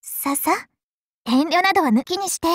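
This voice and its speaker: A woman's voice: very high voice, lively intonation, normal speed, no room sound, clean studio sound. A woman playing a young, sweet, clingy dragon princess in a mobile game, very polite and girlish with theatrical, coquettish delivery. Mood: cheerful welcome. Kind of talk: Japanese mobile game character voice acting.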